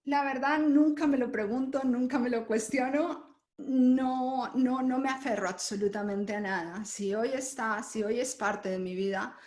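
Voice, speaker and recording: A woman from Colombia, a podcast guest, telling her life story in a lively way with frequent laughter. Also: a little high, unhurried. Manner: lively, laughs often while telling stories. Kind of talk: conversational interview storytelling. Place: lives in Germany.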